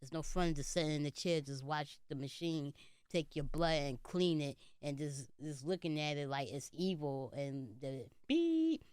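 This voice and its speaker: Earnest young man, smooth dry voice. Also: fairly high pitch, lively intonation, unhurried, a little mellow, dry recording. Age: 20s. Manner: earnest, speaks of faith, many "you know" fillers. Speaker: guest comedian. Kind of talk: casual interview conversation.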